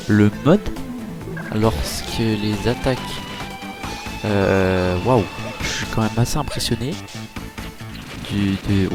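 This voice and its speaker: Man, let's-play commentary, chatty with lots of fillers, clear game music in the background. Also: fairly low pitch. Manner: chatty, filler-heavy, easily impressed. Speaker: gaming YouTuber. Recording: game music playing behind.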